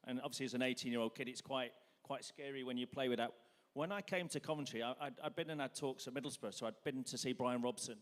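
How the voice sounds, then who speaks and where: A man, a former footballer, speaking as a guest at a live stage interview, earnest and reflective as he looks back. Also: medium pitch. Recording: echoey hall.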